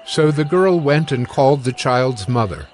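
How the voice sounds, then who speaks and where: A man's voice: medium pitch, animated, normal speed, a little mellow, slight background noise. A man narrating scripture from a script in a dramatized reading.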